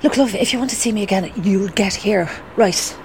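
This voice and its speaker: A woman's voice: mid-range voice, fairly quick, natural voice. Actress as a scolding girlfriend, street noise. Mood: annoyed, warning. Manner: firm, scolding. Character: impatient, stern girlfriend laying down an ultimatum. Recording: noisy street with passing vehicles.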